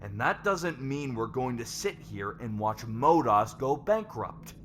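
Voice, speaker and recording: A man playing a stern, businesslike lord on a company board, firm and measured, in an ASMR roleplay. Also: mid-range voice, very animated, a bit bright, slight background noise. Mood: grave, firm. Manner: measured, firm, matter-of-fact.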